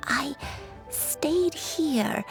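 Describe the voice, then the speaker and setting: A woman voicing a frail, forgetful, gentle old grandmother in a video game, hesitant and wistful. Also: high-pitched, lively intonation, very slow, faint music behind, full character voice. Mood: melancholy, apologetic.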